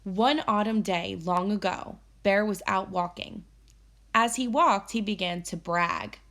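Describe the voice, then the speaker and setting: Woman, teacher, children's read-aloud, slow pace, medium pitch, instructive, expressive storytelling. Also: vocal fry. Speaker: elementary teacher. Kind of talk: children's read-aloud lesson with guiding questions.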